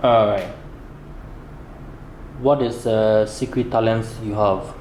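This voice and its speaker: A man speaks off camera in a dry, low-key, matter-of-fact way. His voice carries a good deal of room echo. Faint background noise sits under it. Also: steady tone, slow pace, a little mellow. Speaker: off-camera interviewer.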